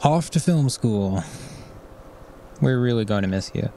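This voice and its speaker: A tired, kind-hearted man in an audio drama. His medium-pitched delivery is natural and earnest, sometimes hesitant. Faint noise sits behind him. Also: animated, measured pace, warm tone. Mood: warm, encouraging. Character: weary, kind, overworked male office colleague.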